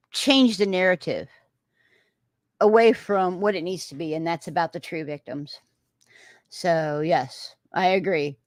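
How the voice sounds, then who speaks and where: A Texan woman chats her way through a livestream, pausing often on filler sounds and restarting her sentences. Her voice sits somewhat low and unhurried, recorded close and dry with no room sound. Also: no room sound. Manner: rambling, many "um"s and restarts. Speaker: livestream host reading viewer comments. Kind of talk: casual livestream chat.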